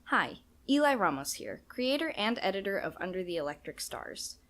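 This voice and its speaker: A woman's voice: mid-range voice, sing-song, moderate pace, a bit bright. A woman who creates and edits a podcast, reading scripted closing credits to listeners.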